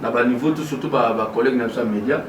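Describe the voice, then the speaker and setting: Halting man, far and echoey. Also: mid-range voice. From DR Congo. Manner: halting, mixes French and Lingala. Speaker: guest. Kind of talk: casual interview talk. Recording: echoey room, far mic, noisy.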